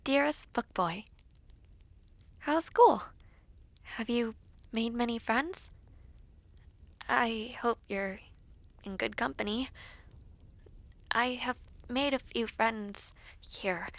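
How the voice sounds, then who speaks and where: A woman playing a devoted young female knight in an audio roleplay, reading letters in a tender, wistful, sometimes breathy manner. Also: fairly high pitch, takes it slowly, pauses often, fairly bright tone, no room sound. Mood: warm, hopeful.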